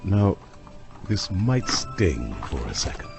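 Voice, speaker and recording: An actor playing an obsessed vampire-hunting doctor in an audio drama, grave and urgent with melodramatic delivery. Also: male voice, low-pitched, sing-song, slow, vocal fry, music in the background, heavily acted. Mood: grave, soothing. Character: obsessed intense male vampire hunter doctor.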